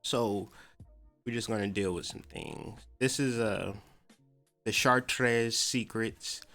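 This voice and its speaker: A man's voice: fairly low pitch, takes it slowly, fairly bright tone, soft background music. An American man hosting a podcast, talking in a loose, slangy, stop-and-start monologue about spiritual ideas. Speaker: podcast host.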